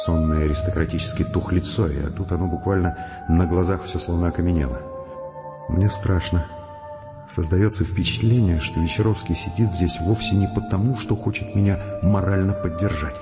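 A man's voice: sits low, lo-fi sound. An actor playing an agitated, sardonic male scientist who argues and broods in a Russian radio drama. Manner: conversational, sardonic, impatient. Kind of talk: Russian radio drama acting and first-person narration.

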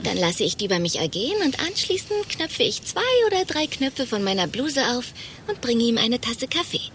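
An actress playing a brash, bossy, foul-mouthed secretary in a satirical radio play, with a coarse, sarcastic way of talking. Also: female voice, fairly high pitch, big pitch swings, normal speed, fairly bright tone, a little hiss or hum. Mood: mocking, bored.

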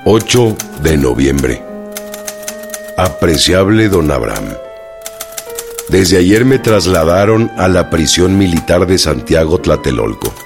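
Super deep slow mexican revolutionary prisoner, proud n aggrieved, radio drama, bit of music behind. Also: male voice, very animated, creaky, heavily acted. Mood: confiding. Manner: slow, deliberate, plain-spoken. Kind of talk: radio drama, letters read aloud.

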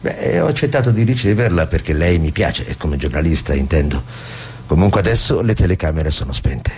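An old ex-diplomat in a radio play. His voice is deep and husky. He is courtly and guarded, choosing formal words and hinting more than he says. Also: very animated, darkish tone, exaggerated voice. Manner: measured, formal, allusive. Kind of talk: radio drama acting.

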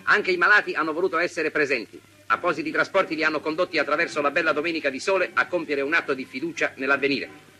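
A man's voice: fairly high pitch, quick pace, bright, forceful tone, faint music behind. A man narrating a 1940s Italian newsreel in a formal, declamatory, scripted style on a vintage soundtrack.

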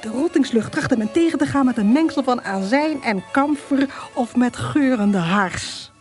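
A wry storyteller narrating a radio drama to the listener. Her voice is high and her delivery theatrical and scripted. Soft music sits underneath. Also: expressive, unhurried. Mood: vivid, disgusted relish.

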